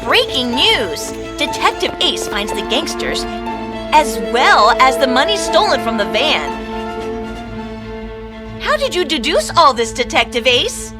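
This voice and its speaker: A woman playing an excited, urgent TV news reporter in an animated story, announcing emphatically. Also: sits high, measured pace. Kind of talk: cartoon news report acting. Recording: loud music under the voice.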